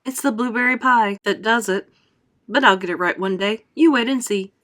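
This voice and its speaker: A woman's voice: on the high side, normal speed, a bit bright, natural voice. A woman reading aloud, voicing an eccentric male candy maker who sighs and speaks in a rueful, matter-of-fact way.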